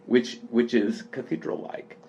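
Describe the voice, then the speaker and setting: A man speaking off the cuff in literary appreciation of a book, with halting, deliberate, thoughtful phrasing. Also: mid-range voice, fairly even intonation, warm tone. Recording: dull, lo-fi sound with faint noise.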